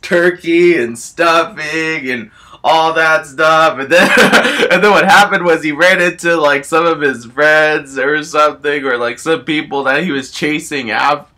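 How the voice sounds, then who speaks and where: A man voicing an excitable, gleeful talking moon statue, rambling through an improvised story in run-on sentences. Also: fairly high pitch, measured pace. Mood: excited.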